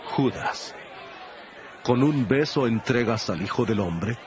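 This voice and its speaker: An actor playing a solemn, deliberate male holy teacher in a dramatized audio Bible. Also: mid-range voice, expressive, crowd noise, background noise. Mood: sorrowful.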